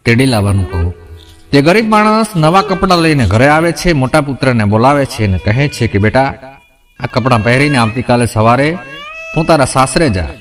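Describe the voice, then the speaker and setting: Male storyteller, mid-pitched, faint music underneath. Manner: addresses listeners directly, voices quoted characters in his own voice. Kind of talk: narrating a moral folk tale.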